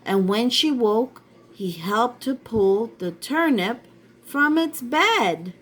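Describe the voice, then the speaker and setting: A woman's voice: a little high, lively intonation, slow, fairly bright tone. A woman reading a story aloud to children, addressing her young listeners directly like a teacher.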